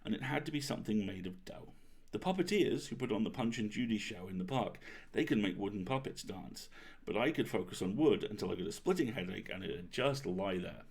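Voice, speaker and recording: A man reading as a young girl baker and wizard, chatty and self-deprecating, in an expressive confiding storybook manner. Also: on the low side, a little fast, creaky, husky, exaggerated voice. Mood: wry, chatty.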